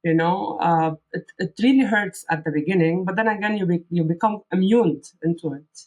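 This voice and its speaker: A woman's voice: lowish voice, normal speed, darkish tone, breathy edge, poor audio. Candid woman, Arabic first language. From Lebanon. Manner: earnest, candid, frequent fillers and restarts. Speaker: guest copywriter. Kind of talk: casual interview answers.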